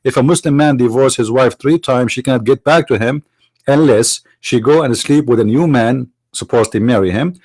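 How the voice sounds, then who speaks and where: A man whose first language is Arabic, from the Middle East, arguing in a sarcastic, mocking tone and slowing down to stress quoted phrases. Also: mid-range voice, a little mellow, breathy edge, no room sound, low-quality recording. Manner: sarcastic, mocking rhetorical questions. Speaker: apologist speaker. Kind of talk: argumentative lecture.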